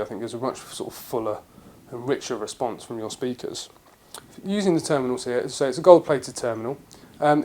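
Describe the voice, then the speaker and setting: British guy talking through a product review, medium pitch, explains stuff plainly. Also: dark tone. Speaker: presenter of product review videos. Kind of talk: semi-scripted product review narration.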